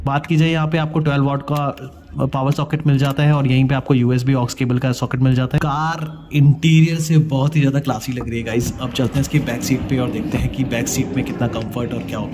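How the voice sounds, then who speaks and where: A man's voice: medium pitch, level tone, a little fast, darkish tone, a little hiss or hum. A man giving a casual walkaround car review at a busy indoor auto show, chatty, mixing English words into Hindi. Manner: chatty, mixes English words into Hindi, frequent "guys" and "yaar".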